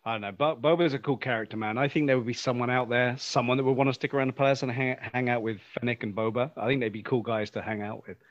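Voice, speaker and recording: A man's voice: medium pitch, fairly even intonation, fast talker, few pauses, no echo. A man co-hosting a podcast in casual fan chat, informal and opinionated, repeating phrases for emphasis, on a slightly lo-fi microphone. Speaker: podcast co-host.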